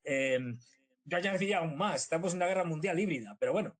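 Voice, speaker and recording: A male guest commentator talks through political analysis at a very rapid pace. His voice is fairly high for a man and his delivery is casual.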